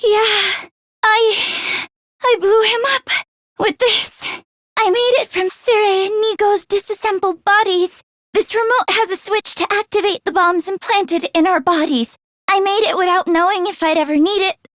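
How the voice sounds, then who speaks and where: A woman voice acting an earnest, anxious teenage schoolgirl in an English video game, with expressive, emotionally heightened delivery. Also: very high voice, unhurried, dry recording, clean studio sound.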